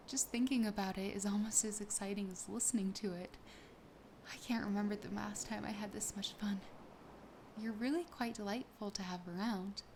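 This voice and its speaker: A woman acts out a sassy, wistful young siren in an ASMR roleplay. The voice is soft and breathy, often slipping into a whisper, gentle and close to the mic. There is clear background noise behind it. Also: mid-range voice, natural voice. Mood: delighted, curious.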